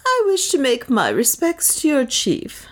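A woman's voice: sits high, huge pitch swings, a little slow, pitched above the performer's own voice, heavily acted. A woman reading aloud and voicing a cool, bold Englishman who speaks with polite courtesy. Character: adult male English adventurer, cool and bold.

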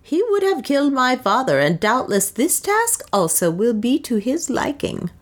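A woman's voice: mid-range voice, big pitch swings, slow, vocal fry, voice pushed up, full character voice. A woman voicing a proud, bitter serving woman in an audiobook, with solemn, archaic, declamatory phrasing. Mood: mocking.

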